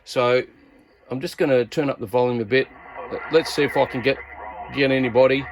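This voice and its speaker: A man's voice: mid-range voice, fairly even intonation, fairly quick, noisy background. A man with an Australian accent gives a casual, hands-on radio gear review as a hobbyist, talking in a laid-back, colloquial, blokey way.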